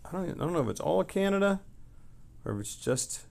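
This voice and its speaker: A middle-aged man who sounds tired, chatting casually on a livestream in a rambling, halting way and trailing off mid-sentence. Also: high-pitched, big pitch swings, gravelly, a little mellow.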